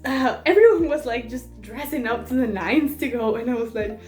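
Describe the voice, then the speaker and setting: A fairly high-voiced young woman chats and giggles through a casual vlog, tripping over restarts as she goes. Her voice bounces around an echoey room, with soft music playing underneath. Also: normal speed. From Mexico. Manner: chatty and giggly, with many restarts. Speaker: vlogger. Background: faint background music.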